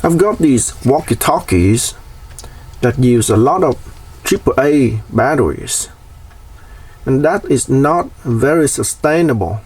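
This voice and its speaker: A man's voice: mid-range voice, expressive, slow, darkish tone, husky, distant mic. A man presenting a casual, hands-on DIY explainer.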